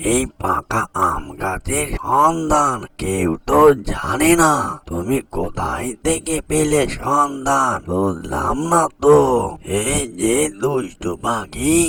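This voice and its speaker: A man voicing a stern but soft-hearted old ghost grandfather in a cartoon, with a husky voice and theatrical delivery. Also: medium pitch, exaggerated voice. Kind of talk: slow, theatrical cartoon voice acting.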